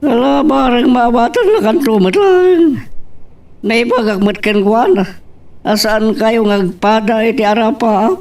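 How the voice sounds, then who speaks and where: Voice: mid-range voice, measured pace, a little mellow, lightly acted. A performer playing a concerned parent in an Ilocano family love drama. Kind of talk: Ilocano audio drama acting. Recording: slightly distant, faint music behind.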